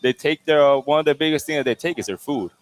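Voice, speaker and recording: A man from Venezuela, a chef and restaurant co-owner, talking in a relaxed, rambling way, full of fillers, in a restaurant. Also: fairly high pitch, quick pace, low-quality recording. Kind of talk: casual interview answers.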